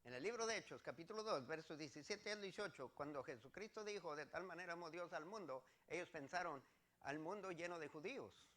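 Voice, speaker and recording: A man's voice: a little high, animated, a little fast, a bit bright. A man with a Mexican accent preaching as a pastor, teaching scripture step by step to a congregation with emphatic, repeated phrases.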